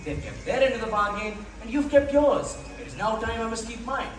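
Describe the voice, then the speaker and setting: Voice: medium pitch, brisk, natural voice. A young performer playing a formal, stern but indulgent guardian telling a bedtime tale in dramatic, wordy style. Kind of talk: theatrical verse storytelling. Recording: very echoey hall, distant mic, music.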